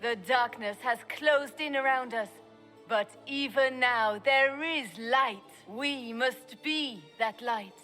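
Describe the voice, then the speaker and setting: A regal empress addresses her court in slow, stately speech. Her voice is high and rings with the long reverb of a great hall. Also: sharp tone, faint music behind. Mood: solemn.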